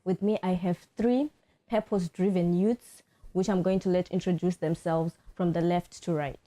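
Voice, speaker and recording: A woman's voice: lowish voice, steady tone, moderate pace, mellow. A young woman hosting an informal, friendly group chat. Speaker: talk show host.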